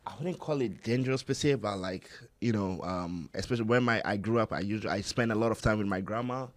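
A man with a very low voice, a Hausa speaker, talks as a podcast guest. His speech is relaxed and meandering, packed with "like" and "you know." It sounds like an unhurried conversation. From Ghana. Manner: easygoing, rambling with many fillers. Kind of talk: casual chat.

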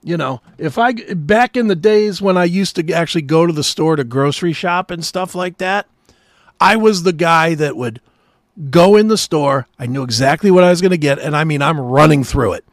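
Man, American accent, higher-pitched, blunt and sweary, cantankerous casual ranting, talk show co-host. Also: normal speed, dry recording, clean studio sound.

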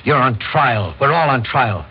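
A man's voice: medium pitch, lively intonation, a little slow, natural voice. Muffled old radio drama with faint noise. A man plays a defiant, principled American lawyer, speaking in a mid-range voice. He argues formally and emphatically, like counsel addressing a court. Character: Jay, principled, defiant lawyer confronting vigilantes.

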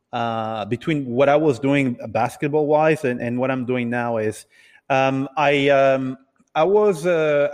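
A man who grew up in Italy and other countries, telling his story casually as an interview guest in a halting, hesitant way. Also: mid-range voice. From Italy and several other countries. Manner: hesitant, halting delivery with repeated words. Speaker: podcast guest, entrepreneur. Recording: reverberant, hall-like room sound.

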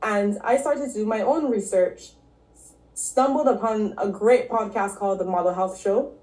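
A woman from Barbados, a podcast guest and health coach, telling her story in a relaxed, casual way with frequent ums and likes. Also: medium pitch, normal speed. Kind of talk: casual interview talk. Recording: echoey room.

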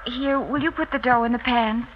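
A high, theatrical woman's voice, an actress playing the part of a resolute, coolly polite young wife. Her speech is measured and formal, in an old radio play with muffled sound and faint hiss. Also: steady tone, close to an everyday voice. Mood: resolved. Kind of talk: 1950s radio drama acting.

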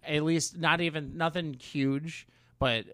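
A man's voice: on the high side, steady tone, bright, forceful tone, dry recording. A man co-hosting a podcast in casual chat, rambling and interrupting himself as he goes, with casual swearing. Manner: rambling, halting, self-interrupting. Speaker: podcast co-host.

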